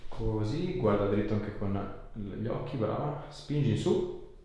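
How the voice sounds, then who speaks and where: A man's voice: fairly low pitch, unhurried, dark tone. A man, a chiropractor talking a patient through treatment with short, casual instructions and encouragement. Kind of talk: casual hands-on patient consultation. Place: small treatment room, indoors. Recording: very echoey small room, faint noise.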